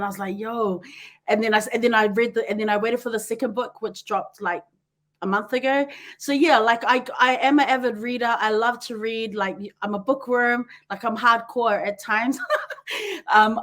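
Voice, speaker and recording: Kiwi lady hosting a podcast, bit high voiced, laughs a lot and rambles off on tangents. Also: a bit bright. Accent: New Zealand. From New Zealand. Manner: chatty, laughs often, goes off on tangents. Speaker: podcast host. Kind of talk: casual chat.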